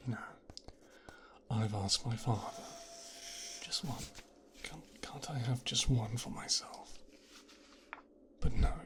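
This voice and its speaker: A man playing a menacing, possessive, resentful mafia boss's son in an intimate ASMR roleplay, speaking in a breathy, brooding, teasing hush. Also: mid-range voice, lightly acted. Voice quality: breathy, whispered. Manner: slow, hushed, brooding, teasing.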